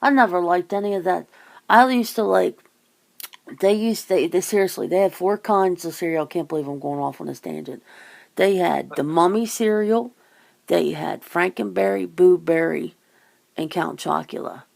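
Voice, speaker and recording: A woman chats casually in a lowish voice full of heavy vocal fry. She rambles from thought to thought, teasing and swearing freely as she goes. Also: moderate pace, a little mellow. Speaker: ASMR channel host. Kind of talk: casual phone chit-chat.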